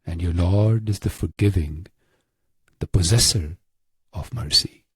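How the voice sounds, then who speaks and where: A man narrating a guided meditation in a hushed, measured way with long pauses. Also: deep voice, husky, dry recording, clean studio sound.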